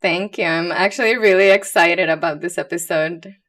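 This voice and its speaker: A woman's voice: lowish voice, moderate pace, a bit bright. A woman in her thirties with a Puerto Rican accent, speaking candidly and playfully as a guest expert in a casual podcast interview. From Puerto Rico. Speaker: guest expert, pediatric liver doctor.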